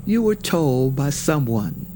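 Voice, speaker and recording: An actor playing a solemn storyteller of ancient sacred lore, speaking in a measured, reverent and dramatic way. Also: male voice, medium pitch, sing-song, mellow, a little hiss or hum. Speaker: storyteller narrator. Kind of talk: solemn scripted mythic narration. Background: prominent music.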